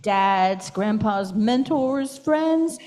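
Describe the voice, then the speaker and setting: A cheerful puppet, acted out by a woman speaks to children in a gentle, encouraging way. The voice sits high and moves up and down with lively exclamations, as in a kids' puppet show. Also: very slow, a little hiss or hum. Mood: cheerful, warm.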